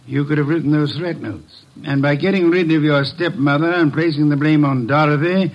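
An actor playing a shrewd, authoritative middle-aged detective boss who questions people deliberately in a classic radio drama. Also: male voice, on the high side, lightly acted. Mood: probing, stern. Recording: muffled old radio broadcast recording.

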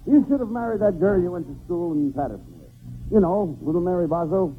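Man, fussy straight man, lively pitch, tinny hissy old radio. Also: fast talker. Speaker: comedian. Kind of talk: 1940s radio comedy.

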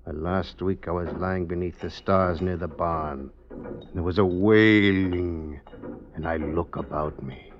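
A man's voice: sits low, steady tone, measured pace. A man playing a scheming, coaxing stonemason with folksy old-country speech in an old radio drama. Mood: wistful, hushed. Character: scheming male stonemason, sly, coaxing poisoner. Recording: muffled, noisy vintage radio recording.

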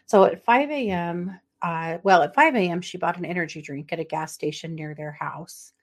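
A woman's voice: fairly low pitch, normal speed. A middle-aged woman co-hosting a podcast, chatting casually and then recounting a true crime case with plenty of fillers and asides. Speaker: podcast co-host.

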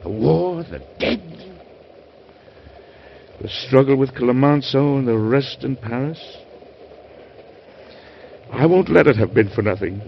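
A weary but idealistic American President in a vintage radio drama. His delivery is oratorical and sweeps widely in pitch, with weighty pauses. The recording is dull and carries some hiss. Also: lively intonation, gravelly. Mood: weary, resolute. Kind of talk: old-time radio drama acting.

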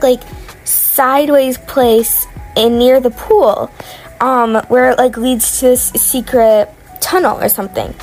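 Sick female gamer, slightly high voice, chatty and meandering, recording from bed. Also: a little mellow, rough recording. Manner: rambling, many fillers and restarts. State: sick, voice sounding off. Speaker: gaming vlogger. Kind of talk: casual gameplay commentary. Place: at home in bed.

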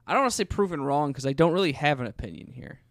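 A man's voice: on the high side, big pitch swings, racing, vocal fry, no echo. A man co-hosting a podcast in casual, joking conversation. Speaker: podcast co-host.

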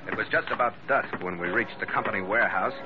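An actor playing a tough ship's skipper, clipped and hard-boiled, in 1940s radio drama style. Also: male voice, on the low side, fast, lightly acted. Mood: hard-boiled narrating. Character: tough, terse male ketch skipper narrating his log. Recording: dull vintage radio recording with music.

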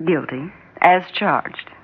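A woman's voice: deep voice, takes it slowly, slightly rough, full character voice. An actress playing an earnest, polite young woman doctor with a restrained manner in an old-time radio drama. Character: earnest young female doctor, engaged, gently respectful. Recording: noisy, dull old radio recording.

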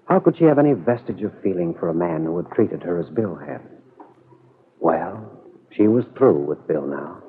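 A man with an American accent narrating a radio drama in a measured, dramatic storytelling manner, on a muffled vintage recording. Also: sits low, lively intonation, a little hiss or hum.

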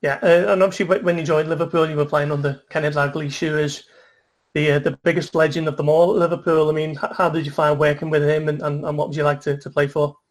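A male interviewer speaks quickly in a flat, slightly raised voice. His long questions are full of restarts and stumbles. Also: on the high side. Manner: rambling questions with many restarts.

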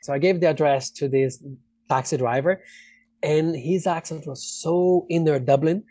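Mellow, higher-voiced Brazilian man. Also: normal speed, no room sound. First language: Portuguese. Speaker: interview guest, actor. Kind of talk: casual personal storytelling in an interview.

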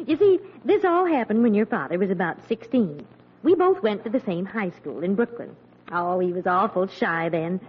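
An actress playing a gentle, fond, teasing wife and mother in a 1940s radio comedy. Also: mid-range voice, lively intonation, moderate pace, husky. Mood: fond, amused. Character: gentle, amused housewife and mother, fondly reminiscing. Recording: muffled noisy radio, audience behind.

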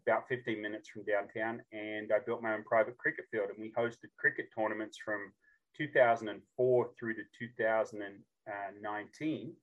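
An Australian man in his fifties telling stories casually as a podcast guest, jokey and animated as he acts out bits of dialogue. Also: lowish voice, normal speed, dull audio. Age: 50s. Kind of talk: casual storytelling.

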